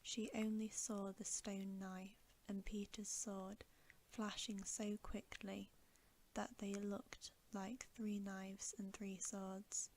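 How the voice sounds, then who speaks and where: A woman reading a story aloud as bedtime ASMR in a soft, breathy whisper, with gentle, hushed and measured narration. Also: mid-range voice, level tone, slight background noise.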